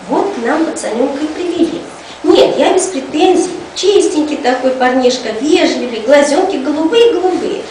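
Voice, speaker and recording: Woman playing a folksy cleaning lady, very echoey room. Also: high voice. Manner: colloquial, folksy storytelling. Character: chatty, folksy school cleaning lady telling gossipy tales. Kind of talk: storytelling to children. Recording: echoey room, distant mic, noisy.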